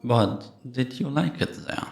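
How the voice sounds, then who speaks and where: A man playing a gentle, patient priest in a cinematic audio roleplay, probing with measured, contemplative questions. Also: medium pitch, gravelly. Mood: curious. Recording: echoey, reverberant room.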